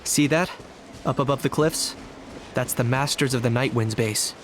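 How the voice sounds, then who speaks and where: A man voicing a cautious, level-headed young warrior in a video game, speaking in a calm, measured and lightly stern way. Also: mid-range voice, background noise, lightly acted. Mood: focused. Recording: loud game music underneath. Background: loud background music.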